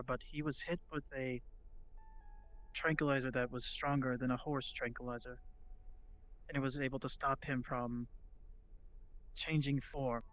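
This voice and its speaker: Even, low-key man roleplaying, speaking in a flat, steady monotone over a dull lo-fi line. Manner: quiet, level, matter-of-fact. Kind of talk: in-character roleplay chat.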